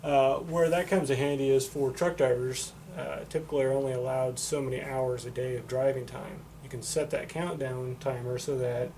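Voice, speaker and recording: A man's voice: mid-range voice, fairly even intonation, moderate pace, hardly any pauses, warm tone. A man giving a casual, unscripted product demonstration in a matter-of-fact, explanatory way. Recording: noticeable background noise.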